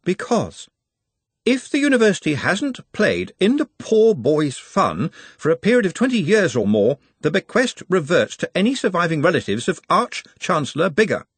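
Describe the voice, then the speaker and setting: A man's voice: high-pitched, huge pitch swings, normal speed, husky, dry recording, voice pushed up, heavily acted. A man reading an audiobook, voicing an anxious, precise young male wizard who hedges before bad news. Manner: hesitant and precise, with fussy hedging.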